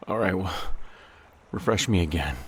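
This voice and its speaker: A man's voice: sits low, normal speed, close to an everyday voice. A man playing an anxious, guilt-ridden man of God in an audio drama, hesitant and stammering. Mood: anxious, doubtful.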